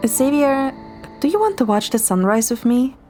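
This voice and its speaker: A woman's voice: high voice, sing-song, moderate pace, dark tone. A young woman narrating a romantic story in the first person and acting out dialogue, over music. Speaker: amateur narrator and voice actor. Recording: music behind the voice.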